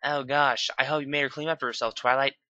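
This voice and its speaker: A man's voice: medium pitch, steady tone, fast talker, pushed and bright, no room sound, muffled recording, pitched above the performer's own voice, close to an everyday voice. A man doing a prim, fussy pony lady. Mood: prissy. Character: prim fashionable unicorn pony lady, dramatic.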